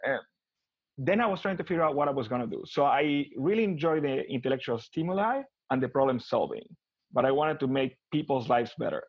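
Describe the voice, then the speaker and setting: A man with an Argentine accent speaks at an ordinary pitch in a casual interview, often restarting and repeating words as he thinks. The sound is dry with no room echo, and somewhat muffled. His delivery is conversational and unscripted. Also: animated, a little fast. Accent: Argentine Spanish. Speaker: guest, business consultant.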